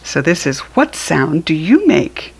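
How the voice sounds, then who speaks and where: A woman's voice: deep voice, sing-song, unhurried, vocal fry, a little mellow, breathy edge. An American woman reading a picture book aloud to young children, with simple, instructive asides. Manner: acts out animal sounds while reading.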